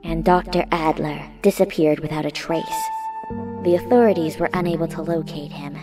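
A woman voicing a weary, defiant young female medic in a comic dub, terse and flat-toned. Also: deep voice, normal speed, music in the background, lightly acted. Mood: weary narration.

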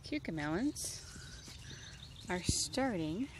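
A woman's voice: on the low side, very animated, slow pace, noisy background. A woman in her thirties giving a casual, rambling tour of her backyard garden, filled with ums as she thinks aloud, recorded outdoors on a handheld phone. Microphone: handheld phone camera. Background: birds and outdoor nature sounds.

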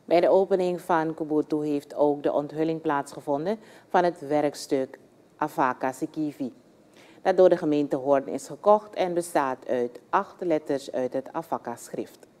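A woman's voice: sits low, normal speed, dark tone. A woman narrating a scripted television news report.

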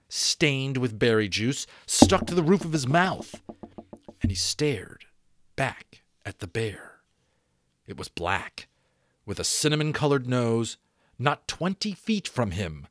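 A man in his thirties reading a novel aloud as dramatic narration, with deliberate pauses and stressed repeats, close to a microphone. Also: mid-range voice, dry recording. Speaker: audiobook reader. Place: small room at home. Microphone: desk microphone close in front.

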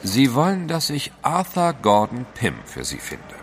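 An actor in a German audio drama playing a cool, sceptical gentleman adventurer with a formal, haughty manner. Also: mid-range voice, wildly swooping pitch, a little slow, faint music behind. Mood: probing.

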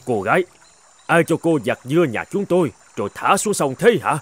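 A man voicing a grumbling but soft-hearted old farmer in an animated folk tale. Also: fairly high pitch, moderate pace, breathy edge. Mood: stern, scolding.

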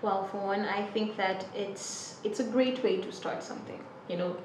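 A woman's voice: mid-range voice, moderate pace, far from the mic, noisy background. A woman on a student panel giving a thoughtful, supportive view. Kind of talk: discussion. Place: studio with panel on a couch. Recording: roomy studio sound from off-mic.

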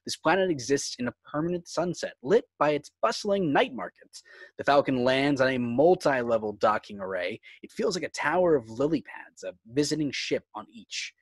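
A man's voice: medium pitch, lively intonation, moderate pace, husky, no echo. A man reading screenplay stage directions as the narrator of a script table read.

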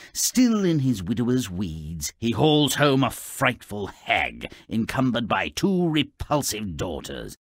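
An actor voicing a gleefully malicious little dwarf storyteller in a video game, raspy and gravelly, with a theatrical, sardonic manner. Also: male voice, low voice, pushed and bright, no echo, studio quality, heavily acted. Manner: theatrical, sardonic, relishing nasty words. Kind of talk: video game character narration.

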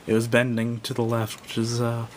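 Male reviewer, medium pitch, casual and unscripted, halting and self-correcting, home recording with slight rumbling noise. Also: completely flat delivery. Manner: hesitant, rambling, self-correcting. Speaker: product reviewer. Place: indoors at a wooden table.

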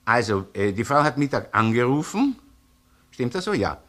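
An actor playing a gruff, shrewd senior police inspector with a Viennese accent in a crime drama, sardonic and probing. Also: male voice, medium pitch, sing-song, moderate pace, fairly bright tone. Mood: courteous, inquisitive. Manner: sardonic, interrogating, hesitant muttering between questions. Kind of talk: naturalistic crime radio or TV drama acting.

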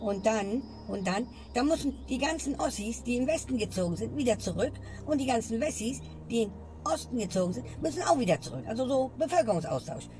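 A woman's voice: fairly high pitch, lively intonation, a little fast, noisy background. A woman ranting in a comedy sketch and ending her lines with a questioning tag. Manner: grumbling, tags lines with "ne?". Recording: music bed behind voice. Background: music.

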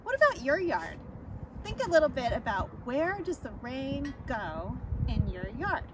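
High, animated woman explaining outdoors. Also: measured pace. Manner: animated, instructive, gesturing as she walks. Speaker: rain garden educator, presenter. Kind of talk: outdoor educational explainer to camera. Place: snowy residential yard outdoors. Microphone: camera several metres away. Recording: distant mic outdoors, loud ambient noise.

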